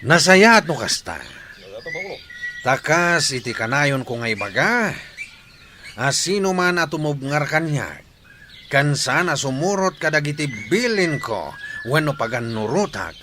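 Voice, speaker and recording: An actor playing a boastful, domineering bandit chief in a radio drama, loud and commanding in slow declamation. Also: male voice, a little high, animated, background noise.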